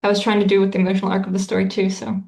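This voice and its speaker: A woman talks through her writing process in a flat, level voice with a gravelly fry. She pauses often and fills the gaps with um and like. The room around her sounds echoey. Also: medium pitch. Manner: thoughtful, many fillers and restarts. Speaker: interviewed author. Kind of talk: casual interview answers.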